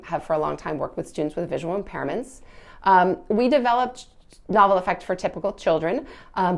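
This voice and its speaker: Low-voiced woman with a creaky edge. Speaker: host reading a children's book aloud and answering questions. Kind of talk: read-aloud storytelling and informal explanatory talk.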